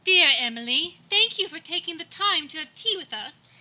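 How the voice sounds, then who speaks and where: A woman's voice: extremely high pitch, animated, moderate pace, sharp tone, exaggerated voice. A woman playing a prim, innocent aristocratic daughter in a comic 1920s radio play, speaking formally and pedantically. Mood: prim, reproving. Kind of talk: live comic radio theater acting.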